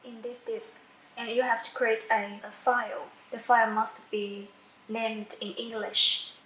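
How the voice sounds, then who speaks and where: An echoey recording of a woman with a slightly high voice presenting a software tutorial. She speaks slowly and haltingly, step by step, often repeating a phrase while she finds the next word. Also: level tone. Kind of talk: unscripted step-by-step software demonstration. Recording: echoey room with faint background noise.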